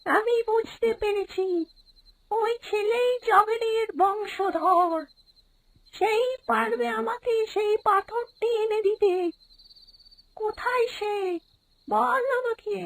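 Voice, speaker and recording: A performer voicing a cruel female ghost witch in a horror cartoon, who talks in menacing, drawn-out taunts. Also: very high-pitched, steady tone, vocal fry, a bit bright, full character voice, low-quality recording.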